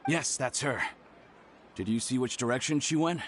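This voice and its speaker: A man's voice: medium pitch, normal speed, heavy background noise, close to an everyday voice. An actor playing a brusque adult man hunting for someone, curt and urgent, with game music behind.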